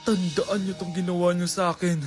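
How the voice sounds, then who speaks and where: A woman voicing a vengeful beggar woman in a comedy skit, dropping to a low, menacing voice. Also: level tone, a little fast, darkish tone, soft background music, lightly acted.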